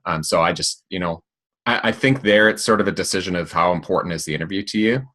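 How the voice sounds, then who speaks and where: A man speaks in a very deep voice, sharing tips in a relaxed panel chat. He talks hesitantly, stopping and restarting his thoughts often. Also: fast. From Canada. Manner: hesitant, many fillers and restarts. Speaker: minister and podcaster. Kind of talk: casual panel discussion.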